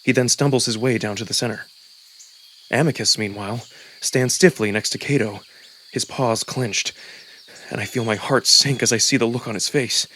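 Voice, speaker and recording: A man giving a suspenseful, expressive first-person narration of a dramatic story. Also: medium pitch, normal speed, a little hiss or hum. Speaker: narrator and voice performer. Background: background music.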